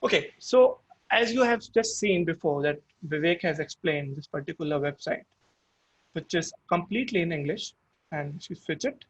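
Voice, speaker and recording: A man's voice: on the high side, lively intonation, normal speed, husky, dull audio. A man with an Indian accent and Hindi as his first language, giving a webinar product demo, explaining step by step with frequent restarts. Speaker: product presenter.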